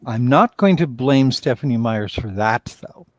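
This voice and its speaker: A writer-director in an interview, speaking in a dry, wry and measured way. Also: male voice, medium pitch, expressive, slight background noise. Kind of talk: casual interview chat.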